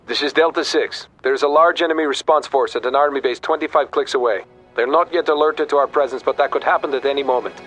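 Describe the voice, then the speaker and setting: An actor playing a businesslike allied officer making formal, precise radio reports in a video game. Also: male voice, medium pitch, fast talker, a bit bright, soft background music, lightly acted, low-quality recording. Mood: serious, reporting.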